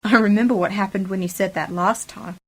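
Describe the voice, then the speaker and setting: A woman's voice: mid-range voice, steady tone, a little fast, breathy edge, lightly acted. A woman playing a curious, sceptical young niece and companion in an amateur science fiction radio play, questioning and cheeky. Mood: curious, wary.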